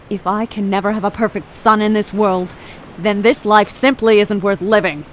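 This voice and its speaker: A woman's voice: medium pitch, a little hiss or hum, natural voice, rough recording. A woman voicing a cold, scheming middle-aged mother who is a murderer, in an amateur radio drama, speaking with haughty disdain.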